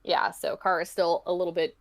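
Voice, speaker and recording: A woman's voice: fairly low pitch, level tone, a little fast. A woman speaking as a podcast guest, explaining their own creative work in a chatty, informal way. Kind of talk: casual interview chat about their own story.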